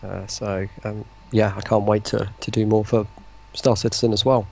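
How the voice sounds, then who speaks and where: A man chatting casually as an interview guest, speaking in a hesitant, halting, self-deprecating way. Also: fairly low pitch, level tone, creaky. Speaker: interview guest, voice pack creator and writer.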